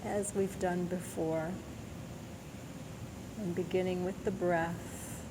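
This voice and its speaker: A woman leading a guided body scan meditation in a gentle, soothing manner, leaving long pauses between phrases. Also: on the low side, steady tone, noisy background.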